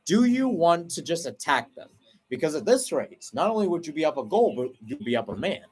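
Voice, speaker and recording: A man's voice: a little high, big pitch swings, breathy edge. Man calling soccer play-by-play. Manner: brisk flowing call, rising sharply on chances; self-correcting, explains tactics between plays. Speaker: play-by-play commentator.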